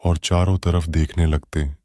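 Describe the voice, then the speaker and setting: A male storyteller reading a cartoon moral tale. His voice is low-pitched and the pace is slow, the delivery steady and plain, recorded close and dry. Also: no echo. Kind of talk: scripted storybook narration.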